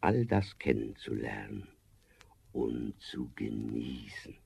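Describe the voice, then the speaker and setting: An actor playing a sly, domineering forest giant who slowly coaxes and sneers in a fairy-tale radio play. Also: male voice, extremely low pitch, very animated, creaky, a little mellow, breathy edge, heavily acted. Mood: sly persuasion. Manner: slow, coaxing, sneering laughter.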